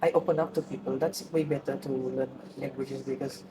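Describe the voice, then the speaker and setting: A man's voice: medium pitch, steady tone, a little fast, noisy background. Hesitant young Malaysian man student. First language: Tamil. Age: young adult. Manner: hesitant, many fillers and restarts. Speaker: interviewee, student. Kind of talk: casual interview answers.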